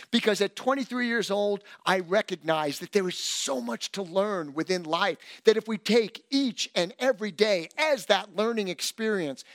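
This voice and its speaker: A middle-aged man preaching a sermon as pastor, emphatic and animated, with self-deprecating humor. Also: high-pitched, no echo, clean studio sound.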